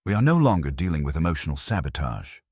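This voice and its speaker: A man's voice: extremely low pitch, sing-song, quick pace, a little mellow, husky, no room sound, clean studio sound, heavily acted. A man voicing a brilliant, scowling detective in an audio story, speaking in terse, pompous, formal pronouncements. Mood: grave. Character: brilliant, imperious male detective, scowling and cerebral.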